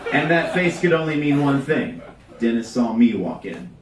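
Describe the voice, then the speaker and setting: Man, live comedic storytelling, deadpan and deliberate, medium pitch, echoey room, distant mic. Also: background noise. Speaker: reader at a live storytelling show. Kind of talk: comedic storytelling read aloud to a live audience. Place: live storytelling venue with audience.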